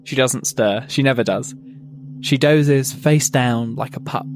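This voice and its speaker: A man narrates a scripted horror story in the first person. His voice sits at an average pitch, and music plays clearly behind him. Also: unhurried.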